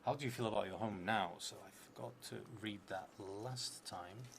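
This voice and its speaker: Man in his thirties, lowish voice, solo tabletop game narration, musing to himself, noisy stream audio. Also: fairly quick, vocal fry. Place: indoor streaming setup in a theatre venue.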